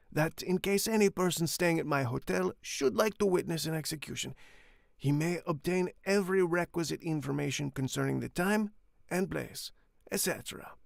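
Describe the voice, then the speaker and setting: Guy putting on a fawning italian innkeeper, gravelly and kinda high, bowing and scraping, dry sound. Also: dry recording. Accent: put-on Italian. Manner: obsequious, formal. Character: fawning old Italian innkeeper, self-satisfied. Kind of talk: audiobook character reading.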